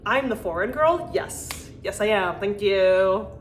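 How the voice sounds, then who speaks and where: A woman's voice: medium pitch, lively intonation, unhurried, reverberant, a little hiss or hum. A young adult woman with an American accent, chatting casually and informally as she hosts a video, peppering her talk with "like". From United States. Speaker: video host reading listener messages. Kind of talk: casual chat to camera.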